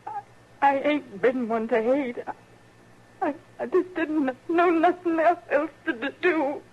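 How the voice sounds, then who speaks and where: A woman with a rural American accent playing a bitter, grief-stricken frontier mother in a radio western, stark and halting. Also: sits high. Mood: grieving. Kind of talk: 1950s radio western acting. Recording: muffled, noisy old radio recording.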